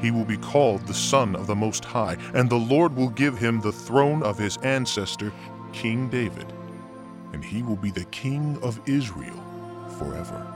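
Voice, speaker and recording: An actor playing an angel messenger in a Bible drama, solemn, measured and reassuring. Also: male voice, mid-range voice, lively intonation, over music, natural voice. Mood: solemn, assured.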